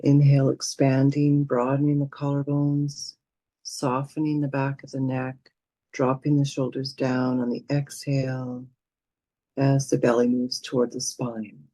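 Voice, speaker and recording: A woman guides a meditation in a soft, mellow voice with little edge to it. Her delivery is gentle and soothing, leaving space between short phrases. The sound is dry and close, with no room echo. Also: very deep voice, level tone. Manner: gentle, soothing, unhurried with pauses. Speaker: meditation teacher.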